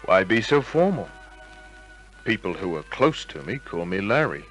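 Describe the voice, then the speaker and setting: An actor playing a wisecracking, suspicious private detective in 1950s radio crime drama style, with clipped, sardonic tough talk. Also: male voice, sits low, sing-song, normal speed, a bit bright. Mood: flirtatious, sardonic. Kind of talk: 1950s radio crime drama acting and first-person narration. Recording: old radio drama recording with music.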